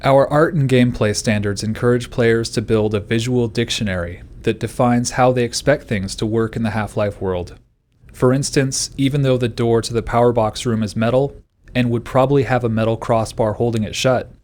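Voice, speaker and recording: Man with medium pitch, scripted design commentary. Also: fairly even intonation, moderate pace, a little mellow. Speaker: game developer. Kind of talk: scripted developer commentary narration.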